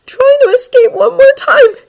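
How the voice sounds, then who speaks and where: A woman voicing a betrayed teenage boy who is crying, with a hoarse, rasping voice. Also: very high-pitched, normal speed, a bit bright, voice pushed up. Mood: sobbing, bitter.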